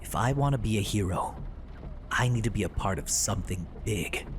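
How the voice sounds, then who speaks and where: A man plays an eager, cocky young soldier in a video game. His voice is fairly low, with faint ambient noise behind it. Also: expressive, moderate pace, close to an everyday voice. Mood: eager, keen. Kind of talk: video game character dialogue.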